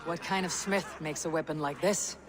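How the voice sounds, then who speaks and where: An actor playing a blunt, self-assured Viking warrior in a video game cutscene, speaking tersely and drily. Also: male voice, fairly high pitch, fairly even intonation, moderate pace, a crowd in the background, natural voice. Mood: blunt, intrigued.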